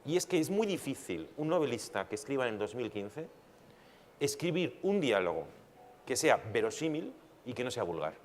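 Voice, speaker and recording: A man's voice: mid-range voice, very animated, moderate pace. A man making a reflective argument on a public panel, using rhetorical questions. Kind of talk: panel discussion. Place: large hall at a public panel. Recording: hall reverb with faint noise.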